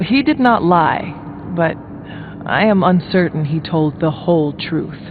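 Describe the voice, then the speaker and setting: An actor playing an earnest, calm hero leader in a video game, speaking formally and literally with full, careful phrasing. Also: male voice, fairly high pitch, unhurried, narrow, tinny audio, over music. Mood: calm, serious. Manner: formal, literal, avoids contractions. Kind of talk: video game dialogue acting.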